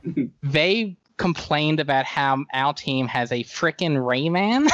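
A man's voice: on the high side, expressive, slow pace, a bit bright, no room sound, highs cut off. A man talking as a podcast guest, casually telling anecdotes and stating opinions.

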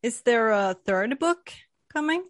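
Casting: woman from Sweden, interviewer, slow and halting, dry sound. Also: a little high, animated, no room sound. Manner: hesitant, halting with fillers. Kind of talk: casual interview conversation.

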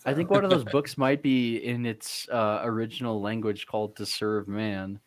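A man's voice: fairly low pitch, moderate pace. A man playing a tabletop game on a podcast, chatting casually and riffing with playful enthusiasm.

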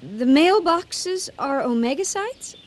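An actress playing a sweet, devoted, bewildered fiancée in a melodramatic comic radio play, gushing and earnest. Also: female voice, high-pitched, animated, slow pace. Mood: puzzled.

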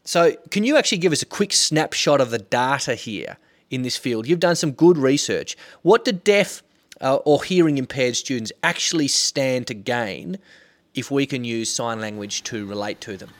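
A man's voice: medium pitch, lively intonation, normal speed. A man hosting a podcast interview, talking earnestly and telling a personal story before asking his guest a question. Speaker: podcast host.

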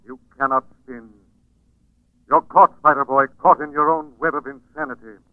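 An actor playing a mysterious invisible avenger in a 1940s radio drama, commanding, taunting and deliberate. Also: male voice, fairly high pitch, dry recording, natural voice. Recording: dry, narrow-band 1940s radio recording.

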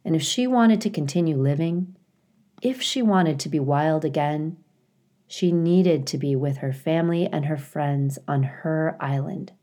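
Low, mellow woman reading a story. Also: measured pace. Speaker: story reader, school staff. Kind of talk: expressive children's audiobook narration.

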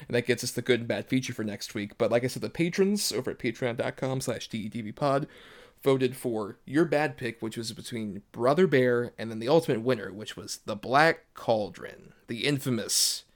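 A man's voice: medium pitch, normal speed. Man, podcast co-host, mid-range voice, casual chat, playful banter, jokey.

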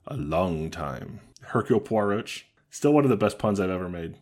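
A man co-hosting a podcast in casual comedic chat, dry and joking, with a deep voice. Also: expressive, moderate pace, darkish tone. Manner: dry, joking, riffs on bad movies. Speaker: podcast co-host.

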